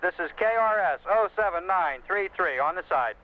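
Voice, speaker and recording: A businesslike trucker relays messages in clipped CB jargon. The voice is high for a man and comes muffled over the radio. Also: animated, normal speed. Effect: CB radio filter. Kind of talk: scripted CB radio demonstration dialogue.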